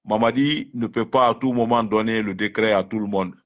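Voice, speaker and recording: A man's voice: fairly low pitch, fairly even intonation, normal speed. Dull lo-fi voice of a man. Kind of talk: live political phone conversation. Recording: dull, lo-fi phone-call sound.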